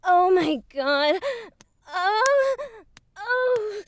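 A woman playing an eager adult university student in an intimate audio roleplay, breathy and pleading. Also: extremely high pitch, animated, slow pace, a little mellow, full character voice. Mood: breathless pleading.

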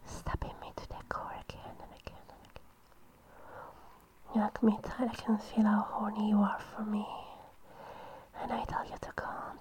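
A woman speaking in a soft, breathy whisper, teasing and affectionate as a girlfriend in an intimate audio roleplay. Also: a little high, poor audio.

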